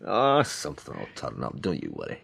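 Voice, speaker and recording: A gruff tough, very low-voiced. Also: male voice, huge pitch swings, brisk, a crowd in the background, heavily acted. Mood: sardonic. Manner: menacing, sardonic. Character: gruff threatening blinded tough man with grim sarcastic humour. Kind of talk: radio drama acting.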